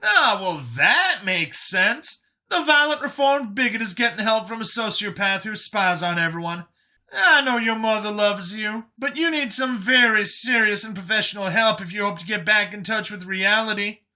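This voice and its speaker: An older human man in a sci-fi audiobook acted out by a male narrator, high and very bright. He talks in a breezy, emphatic way, wry and teasing like a would-be stepdad. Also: animated, normal speed, heavily acted. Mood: scolding, earnest.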